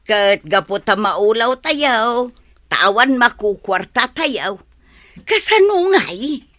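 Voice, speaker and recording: A woman's voice: a little high, very animated, slow, a bit bright, heavily acted. A woman playing a scheming, plaintive village woman in radio comedy drama, with an Ilocano accent. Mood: plaintive complaining. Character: scheming, complaining village woman feigning dizziness for credit.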